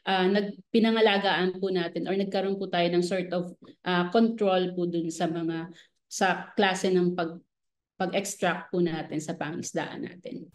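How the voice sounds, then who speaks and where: A woman's voice: fairly low pitch, steady tone, a little slow. A woman with a Filipino accent speaking as an expert guest in a radio interview, politely explaining and mixing Filipino with English.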